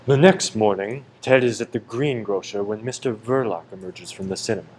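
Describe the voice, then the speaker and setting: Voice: medium pitch, fairly quick, darkish tone. A narrator reading scripted narration for a radio play.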